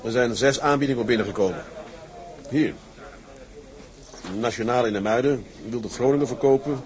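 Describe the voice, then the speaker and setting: A man's voice: medium pitch, normal speed, close to an everyday voice. An actor in a Dutch radio drama playing a genial, plain-spoken sea captain who addresses his men in a businesslike way.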